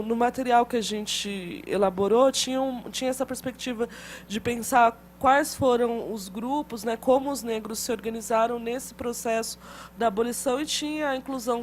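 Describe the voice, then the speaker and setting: A woman with a fairly high voice lectures a class in a loose, conversational way, often checking in with tag questions and restarting her phrases. A faint background hum runs under the recording. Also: darkish tone.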